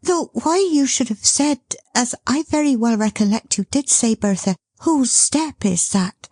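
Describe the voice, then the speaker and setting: A high, fluttering young wife, as the woman reading puts her on, talking fast and breathlessly when news arrives. Her voice swoops up and down and carries a husky, breathy edge. She sounds close and dry, like a storybook reading. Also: big pitch swings, mellow, no room sound, heavily acted. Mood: flustered. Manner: rushed, fluttering, high-strung.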